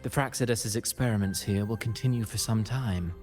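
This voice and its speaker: A man playing a calculating, courtly villain in a video game, with soothing, measured and eloquent delivery. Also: lowish voice, a little mellow, natural voice. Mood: calm, scheming. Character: suave, calculating male villain with theatrical, courtly speech. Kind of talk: scripted video game acting. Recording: music playing behind the dialogue.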